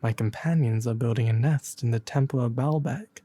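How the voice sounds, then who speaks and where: A man voicing a kindly, earnest little swallow in a storybook, soft and breathy, wistful and gentle. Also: medium pitch, moderate pace, dry recording, lightly acted. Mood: wistful farewell.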